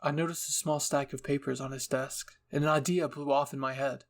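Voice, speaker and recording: A man narrates a scripted story in a dramatic reading style. His voice sits at a middle pitch with a noticeable creak. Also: steady tone, fairly quick. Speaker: narrator, fan fiction reader.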